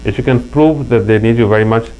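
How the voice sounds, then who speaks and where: A man's voice: medium pitch, quick pace, warm tone, low-quality recording. A middle-aged man from Pakistan with a Pakistani accent, telling stories in an earnest interview chat. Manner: earnest, anecdotal, with frequent restarts. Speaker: interview guest. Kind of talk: casual interview talk. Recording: faint mains hum.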